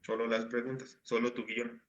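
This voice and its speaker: A man's voice: medium pitch, little pitch movement, moderate pace, muffled recording. A man, patiently explaining assignments to his class over a video call. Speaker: teacher. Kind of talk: online class instruction.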